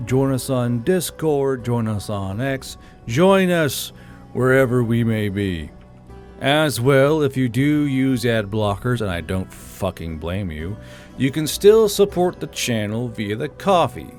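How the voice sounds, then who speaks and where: A man narrating an audiobook in a plain, unhurried way, then casually thanking channel supporters. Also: mid-range voice, darkish tone. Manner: plain, unhurried storytelling; casual and blunt outro.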